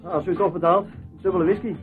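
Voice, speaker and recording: A man's voice: high voice, steady tone, rapid-fire, over music, close to an everyday voice. An actor playing a shifty, cheeky petty crook in a radio drama, wheedling and wisecracking as he protests his innocence. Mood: cheeky, grumbling. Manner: wheedling, evasive, wisecracking, stammering self-justification. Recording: muffled phone-like old radio play, noisy.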